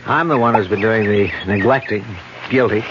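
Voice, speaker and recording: A man's voice: lowish voice, moderate pace, lightly acted. An actor playing a confident, affectionate man who explains his murder investigation in a radio drama. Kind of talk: 1970s radio drama acting. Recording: muffled old radio recording with hiss.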